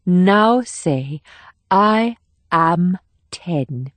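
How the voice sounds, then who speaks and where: Woman acting young female spy, gentle, guarded, measured, lowish voice, dry audiobook dialogue. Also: animated, dry recording. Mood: patient, gentle. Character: young North Korean woman operative, gentle, guarded, burdened.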